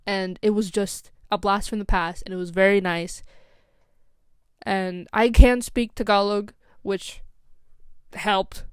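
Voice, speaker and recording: A woman's voice: mid-range voice, steady tone, slow, clear, resonant tone, no echo, studio quality. A young woman with an American accent telling a casual, rambling story as a vlogger, full of "like" and "um". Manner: rambling, scatterbrained, lots of "like" and "um".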